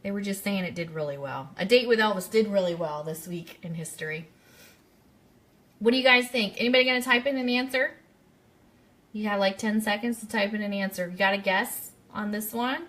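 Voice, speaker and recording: Bright-voiced woman hosting a live quiz. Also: mid-range voice, a little fast. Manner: chatty, interactive, addresses listeners directly. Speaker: radio show host.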